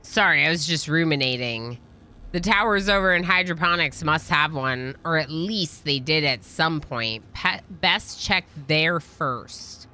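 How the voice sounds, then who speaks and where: Woman voicing a weary colony engineer, bright-toned. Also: low voice, unhurried. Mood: weary, grumbling. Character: weary, overworked colony engineer from a sci-fi game. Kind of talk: reading game dialogue aloud.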